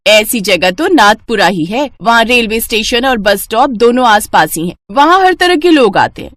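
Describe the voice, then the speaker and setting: Helpful landlady played by a woman, confident, lively intonation, storybook dialogue, faint noise. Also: a little high, normal speed. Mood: helpful, assured.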